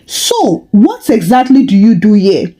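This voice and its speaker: A woman's voice: mid-range voice, big pitch swings, unhurried, warm tone. A woman voicing a young woman in a story, a curious girlfriend who is guarded and questioning. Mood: guarded, suspicious.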